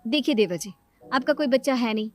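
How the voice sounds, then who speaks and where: A woman's voice: fairly high pitch, expressive, a little fast, darkish tone, lightly acted. A woman voicing a scheming young mother and sister-in-law in a cartoon moral story, coaxing and insistent.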